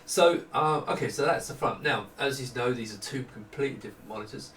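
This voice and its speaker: A man in his fifties giving a casual, unscripted product walkthrough, explaining haltingly as he thinks aloud. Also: medium pitch, fairly bright tone. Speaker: maker presenting a product prototype. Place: small home office room. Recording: roomy, distant mic, faint noise.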